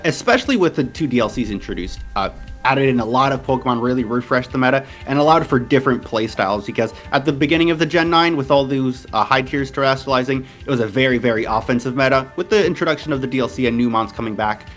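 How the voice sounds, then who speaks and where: A man's voice: medium pitch, quick pace, soft background music. Laid-back young man with an American accent. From Portugal. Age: 20s. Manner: relaxed, rambling, many fillers and restarts. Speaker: interview guest, competitive game player. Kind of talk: casual interview chat.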